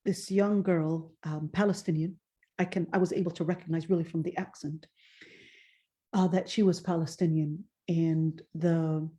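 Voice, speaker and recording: A woman lecturing on faith and hardship, speaking earnestly, slowing down on emphatic phrases and reciting Arabic verses. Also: on the low side, mellow. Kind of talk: Islamic lecture.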